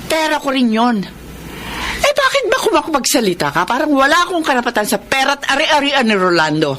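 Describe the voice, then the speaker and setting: Female, highish animated voice, middle-aged wife, proud, defensive, radio drama, faint noise. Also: brisk. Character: feisty, defensive older wife, indignant and proud. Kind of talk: Tagalog radio drama acting.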